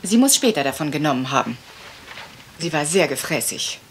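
A woman's voice: low-pitched, very animated, moderate pace, a little hiss or hum. A woman playing a troubled, wistful lady of a manor house in a radio crime drama, polite and hesitant. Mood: anxious, polite.

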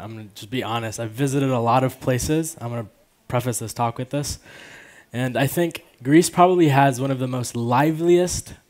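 A man's voice: medium pitch, a little mellow. Young american guy around 20 on stage with a headset mic, chatty keynote, says like and you know a lot. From Chicago, United States, born in Afghanistan. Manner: casual, peppered with "you know" and "like". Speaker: conference speaker. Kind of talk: informal conversational keynote talk on stage. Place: large auditorium stage with audience.

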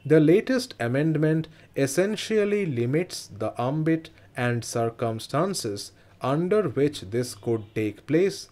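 A man with an Indian English accent reading a parliamentary speech aloud as slow dictation, in measured, evenly spaced phrases. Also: fairly high pitch, animated, a little mellow. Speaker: stenography dictation reader.

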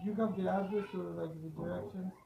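A woman's voice: on the low side, fairly even intonation, fairly quick, vocal fry, roomy sound, background noise. An American woman vlogging outdoors in a crowded slum, talking casually with many restarts and fillers. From United States. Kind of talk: casual conversation. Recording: muffled camera audio, crowd behind.

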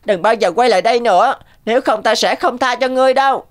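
A woman's voice: a little high, quick pace, soft background music, natural voice. Woman as a scolding cartoon rabbit. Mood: stern, threatening. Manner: scolding, threatening. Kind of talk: cartoon dub.

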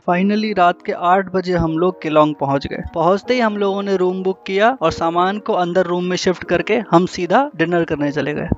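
A man's voice: sits high, moderate pace, hardly any pauses. A man giving plain, matter-of-fact voice-over narration of a road trip. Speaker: travel vlogger narrating. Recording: dull lo-fi audio over music.

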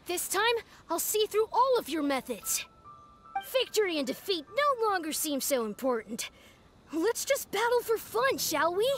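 A woman voicing a solemn, proud little boy grandmaster in a video game, formal and grandiose. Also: extremely high pitch.

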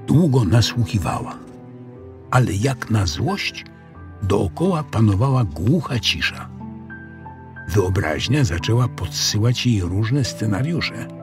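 A man narrating a children's audiobook in an expressive storytelling style. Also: on the low side, takes it slowly, music in the background.

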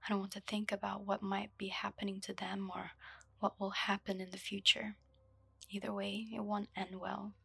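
A young woman reading a story aloud in a slow, soft, breathy ASMR whisper, her voice low. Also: flat delivery, no room sound. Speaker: ASMR host. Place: dark room lit by a candle.

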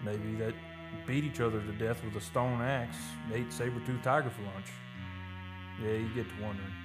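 Folksy roughneck played by a man, radio drama. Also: lowish voice, fairly even intonation, moderate pace, close to an everyday voice, low-quality recording. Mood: musing, storytelling. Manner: folksy, colloquial. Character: rough, folksy male oil roughneck telling his tale. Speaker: actor and narrator in radio play. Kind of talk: old-time radio drama acting, first-person storytelling. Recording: live radio studio performance with music.

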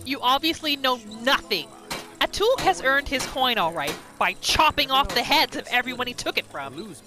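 A woman's voice: high-pitched, sing-song, normal speed. A woman hosting a gaming video, giving reactive roleplay commentary over gameplay. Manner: reactive, narrates her character in third person. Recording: faint game music behind.

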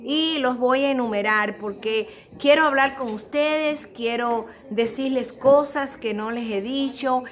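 A middle-aged woman with a Cuban accent speaks in a fairly high voice. She talks casually and earnestly, straight to her viewers, as if confiding and giving advice. It is recorded on a phone held at arm's length in a living room. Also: unhurried, few pauses. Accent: Cuban Spanish. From Cuba. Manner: earnest, addresses viewers directly, motherly advice. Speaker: vlogger. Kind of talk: casual confessional chat to camera. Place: small living room at home.

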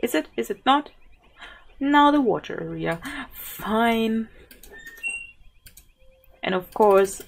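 A woman's voice: fairly high pitch, animated, slow pace, vocal fry, pauses often. A German woman with a sore throat, casually commenting on a zoo game, thinking aloud with fillers and small laughs. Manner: soft-spoken, thinks aloud with many fillers and little laughs. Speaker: gaming channel host.

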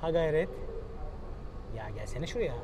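A man's voice: on the high side, expressive, unhurried. A man narrating a casual travel vlog, thinking aloud and chatting with his companion as he buys a metro token. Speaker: travel vlogger. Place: metro station and train, indoors. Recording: noisy public space.

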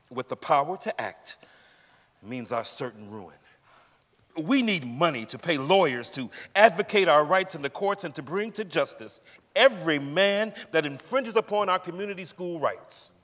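Guy acting fired-up activist orator, highish swooping voice, stage speech in echoey hall. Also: sing-song, a little slow, fairly bright tone, heavily acted. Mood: fervent. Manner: rousing oratory. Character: impassioned male activist orator rallying for rights. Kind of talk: dramatic stage reading.

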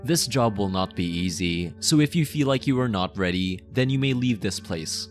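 A menacing, possessive mafia boss played by a man speaks in a fairly low voice over background music. He is playful and teasing, with a theatrical ASMR roleplay delivery. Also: lively intonation, natural voice. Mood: commanding, menacing. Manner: slow teasing, sinister chuckles, theatrical.

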